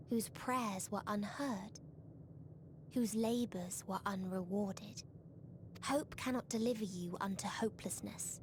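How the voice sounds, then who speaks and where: A woman playing a mournful, calmly merciless otherworldly being in a video game, speaking solemnly and breathily. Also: medium pitch, noisy background. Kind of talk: scripted video game voice acting.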